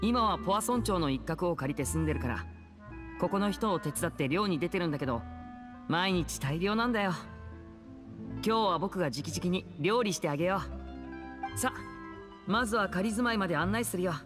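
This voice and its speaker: A man's voice: high voice, lively intonation, moderate pace, long pauses. An actor playing a courteous, easygoing young man in a Japanese game, talking in a friendly, polite manner. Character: courteous, smooth-talking young man, a magician and eldest brother. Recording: game music behind the voice.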